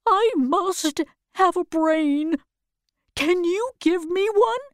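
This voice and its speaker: A woman voicing an earnest, hopeful straw scarecrow, read slowly in a storybook. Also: very high voice, no echo, clean studio sound, pitched above the performer's own voice. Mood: wistful.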